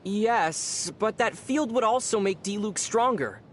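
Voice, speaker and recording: A woman playing a young male traveler hero in a video game, calm, dry and gently teasing. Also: mid-range voice, unhurried, slight background noise, lightly acted. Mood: debating.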